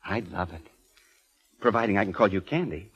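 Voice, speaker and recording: An actor playing an eccentric, gleefully morbid ageing composer in a 1940s radio drama, with a flamboyant, florid manner. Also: male voice, low voice, moderate pace, husky. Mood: amused, gleeful. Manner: flamboyant, effusive, chuckling, florid. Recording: muffled vintage 1940s radio recording.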